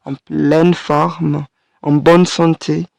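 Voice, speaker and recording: A woman in her thirties talks straight to her listener in a solo studio podcast, her voice hoarse and husky from a cold. She speaks very slowly and gently, with encouraging warmth and many small hesitations. The recording is dry and close, with no room sound. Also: low-pitched, flat delivery, no echo. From Kenya. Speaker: podcast host and French teacher. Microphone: studio microphone.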